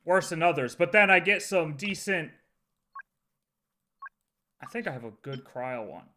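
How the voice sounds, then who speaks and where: A man's voice: on the high side, expressive, unhurried, pushed and bright. A man livestreaming a video game, chatting casually and thinking aloud in slangy, offhand asides.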